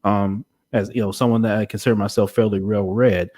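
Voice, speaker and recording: A man's voice: fairly low pitch, steady tone, a little fast, mellow. A man hosting a conversational podcast interview, wordy and hesitant, often circling back to rephrase his questions.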